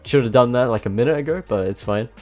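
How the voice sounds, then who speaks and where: A man with a blocked nose and a slightly nasal voice, giving relaxed, self-mocking voice-over commentary on his own match. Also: mid-range voice, quick pace. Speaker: gameplay commentator. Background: faint background music.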